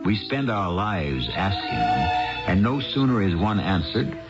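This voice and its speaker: An actor as the grave, philosophical host of a 1970s radio mystery drama, narrating in a measured, rhetorical way. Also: male voice, low-pitched, very animated. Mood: solemn, musing. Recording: dull old radio broadcast with music.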